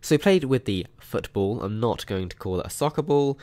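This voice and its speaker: A young man with a hoarse, croaky voice from a sore throat, chatting upbeat through a mobile game walkthrough with plenty of ums. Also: medium pitch, animated, fairly quick, dark tone. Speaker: mobile game channel host. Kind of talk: casual gameplay walkthrough commentary.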